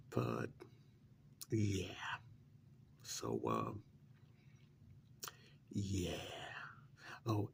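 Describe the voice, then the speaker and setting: A man playing a weary, sheepish bearer of bad news in a soft, halting, near-whispered ASMR role-play. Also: lowish voice, gravelly, full character voice. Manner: hesitant, halting, rambling.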